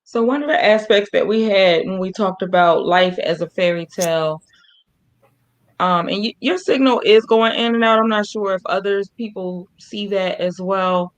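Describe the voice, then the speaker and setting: A woman's voice: mid-range voice, moderate pace, rough recording. A woman co-hosting a casual livestream, speaking conversationally and chiming in with short agreement. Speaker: co-host. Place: remote video call.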